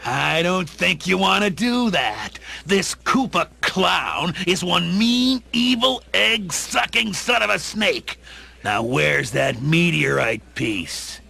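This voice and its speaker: An actor playing a grandiose, scheming reptilian dictator in a radio play, theatrical and commanding. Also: male voice, high-pitched, sing-song, takes it slowly, vocal fry, bright, forceful tone, husky, heavily acted. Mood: sly menace. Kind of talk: audio drama acting.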